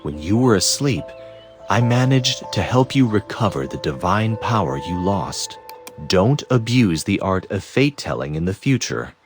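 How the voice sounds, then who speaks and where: A man playing a calm, wise master and protector in a fantasy game, speaking in a measured, formal, instructive way. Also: lowish voice, faint music behind. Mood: solemn, instructive. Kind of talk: dubbed fantasy game cutscene acting.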